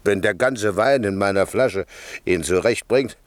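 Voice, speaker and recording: An actor playing a drunken, swaggering servant in a 1960s radio play, boozy, bossy and blustering. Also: male voice, fairly low pitch, no echo, natural voice. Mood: tipsy musing. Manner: boozy, bossy, drawn-out delivery.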